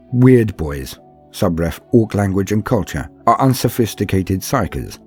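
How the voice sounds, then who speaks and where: Grave male military psyker, acted by a male narrator, husky, formal and pompous, dictating a clinical sci-fi field report. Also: lowish voice, darkish tone. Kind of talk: in-character report reading for science-fiction audio story.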